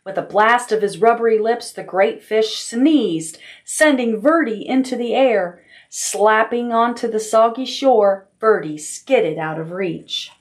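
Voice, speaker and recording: A woman's voice: a little high, a little slow. A woman narrating a children's picture book expressively, as a storytime reader at a library.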